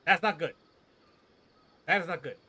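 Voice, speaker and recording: A man's voice: a little high, normal speed, pushed and bright, husky. A young man giving casual, slangy, reactive commentary as he streams a game playthrough. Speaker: gaming streamer.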